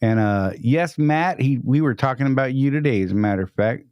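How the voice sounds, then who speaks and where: A man's voice: mid-range voice, a little fast, clear, resonant tone, no echo, lo-fi sound. Upbeat man hosting a live stream. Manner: upbeat, greets chat viewers by name. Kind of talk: casual live-stream chat.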